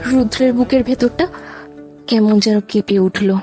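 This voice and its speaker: A woman's voice: fairly high pitch, fairly even intonation, a little slow, mellow, soft background music. A woman reading scripted narration for a romantic audio story.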